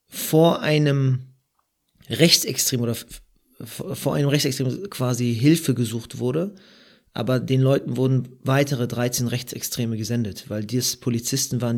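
A man interviewing a guest, asking a long question in a halting way, with stumbles, restarts and filler sounds. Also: medium pitch. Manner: halting, restarts words, says "äh".

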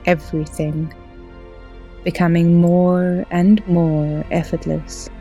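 A woman guides a relaxation meditation at a very slow, unhurried pace. Her voice is mellow and fairly low, and she gives soothing, gentle instructions over music. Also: little pitch movement, lo-fi sound. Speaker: yoga teacher and podcast host.

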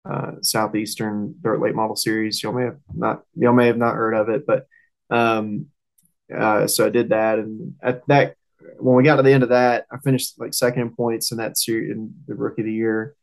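A man's voice: fairly low pitch, flat delivery, moderate pace, creaky, rough recording. A young man with a Southern US accent, talking casually and hesitantly as a guest in an interview. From southern United States. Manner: rambling, hesitant, informal. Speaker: race car driver and interview guest. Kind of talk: casual interview talk, recounting career.

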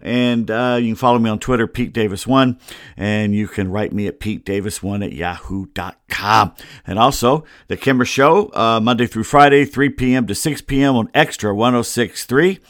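A man's voice: medium pitch, normal speed, fairly bright tone, no room sound. An American man presents a casual sports podcast into a close computer mic, with a very dry sound. He grumbles wryly and wanders into asides as he talks through the sports news. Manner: grumbling, wry, rambling asides. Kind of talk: casual solo sports commentary. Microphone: close computer microphone.

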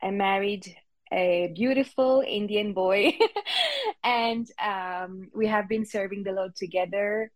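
A woman's voice: mid-range voice, animated, slow, no room sound. A woman from Switzerland whose first language is French, speaking English with a French accent as a guest pastor on a podcast. She laughs often and hesitates with many ums. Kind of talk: casual interview chat.

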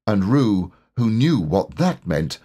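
A man's voice: on the low side, sing-song, slow pace, warm tone, breathy edge. A man reading a children's story aloud as scripted narration. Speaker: storybook reader, voice actor.

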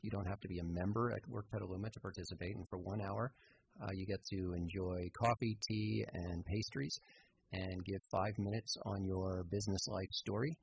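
A man being interviewed as a radio theater organizer, explaining things in a halting, conversational way. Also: low-pitched, steady tone, vocal fry, slight background noise.

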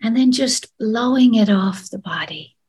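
Slow, breathy woman guiding meditation. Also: a little high, expressive. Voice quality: breathy, husky. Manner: soft, soothing, often trailing into breathy whispers. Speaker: meditation guide. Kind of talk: gentle guided meditation.

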